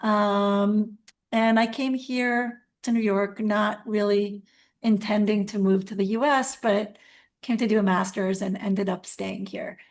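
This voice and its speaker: A woman's voice: mid-range voice, steady tone, a little slow. A woman from Israel, speaking thoughtfully as a community organizer in a webinar, with frequent ums. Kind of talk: webinar talk. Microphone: video call.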